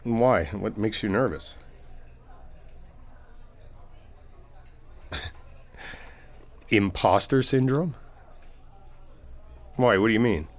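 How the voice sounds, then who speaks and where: A man's voice: fairly low pitch, slow pace. A man playing a supportive, easygoing best friend in a casual ASMR comfort roleplay, talking conversationally and reassuringly as if to one listener. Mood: encouraging, curious. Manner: conversational, reassuring, hesitant rephrasing. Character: supportive, easygoing male best friend giving a pep talk. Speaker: audio roleplay performer.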